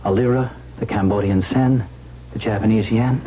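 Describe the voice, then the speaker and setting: An actor playing a devoted, determined man in a radio drama, speaking with earnest, gently coaxing reassurance. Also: on the low side, steady tone, moderate pace, lightly acted. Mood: reassuring, urgent. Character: devoted coin-collector lover, tender, reassuring, determined. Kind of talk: 1970s radio drama acting. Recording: muffled old radio recording, faint noise.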